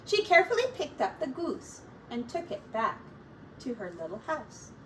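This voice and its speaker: A woman reading a children's picture book aloud as a teacher, in a deliberate, expressive storytelling manner. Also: high-pitched. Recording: distant mic with faint background noise.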